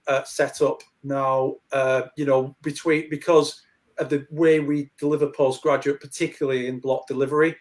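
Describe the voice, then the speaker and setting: A man co-hosting a panel, explaining things steadily with many fillers. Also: medium pitch. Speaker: co-host, lecturer. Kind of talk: conversational panel talk.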